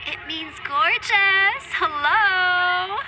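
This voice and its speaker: An actress playing a vain young socialite influencer in an audio drama, gushing, affected and slangy. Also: female voice, very high voice, extremely slow, heavy background noise, exaggerated voice. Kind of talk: audio drama acting, social media chatter.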